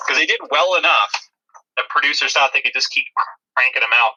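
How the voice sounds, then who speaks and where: A man's voice: medium pitch, a little fast, pushed and bright, slightly rough, lo-fi sound. A man co-hosting a movie review podcast, chatting in a dry, disparaging way. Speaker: podcast co-host.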